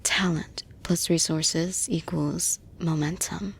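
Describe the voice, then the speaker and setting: A woman playing a caring, persuasive boss in an intimate audio roleplay, soft-spoken and breathy, often dropping to a whisper, deliberate and reassuring. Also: fairly low pitch, fairly even intonation, full character voice. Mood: encouraging, reassuring. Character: caring, persuasive female boss offering support to employee.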